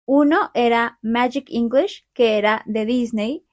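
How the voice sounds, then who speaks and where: A young adult woman from Bogotá with a Colombian accent, hosting a friendly podcast for language learners in a calm, clear, unhurried storytelling way. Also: on the high side, no room sound. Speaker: podcast host.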